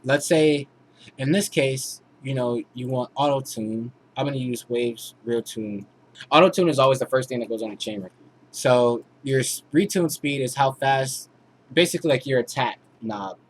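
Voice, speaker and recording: A man's voice: mid-range voice, moderate pace, rough recording. A man giving a casual, informal tutorial as a music producer, explaining things step by step. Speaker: music producer teaching vocal mixing.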